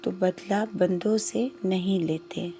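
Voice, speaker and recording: A woman voicing a humble, devout poor cobbler in a story, speaking gently and earnestly. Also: on the low side, fairly even intonation, gravelly, a little hiss or hum. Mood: gentle, firm.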